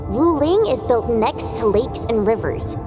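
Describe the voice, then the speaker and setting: Spirited, lilting young game heroine. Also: female voice, a little high, a little slow. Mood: chatty, explaining. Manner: expressive, eager, casual. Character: spirited, earnest young female operative. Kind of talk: video game voice acting. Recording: loud game music behind. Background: music.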